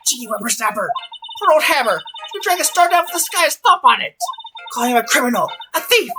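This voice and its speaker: A woman's voice: mid-range voice, very animated, fast, music in the background, voice pushed up, close to an everyday voice. A woman voicing a feisty, indignant old female security guard in a video game fandub, scolding and blustering.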